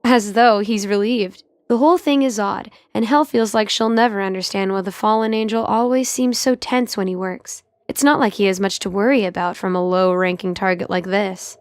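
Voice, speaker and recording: A woman reading scripted, dramatic story narration for an audio drama. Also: medium pitch.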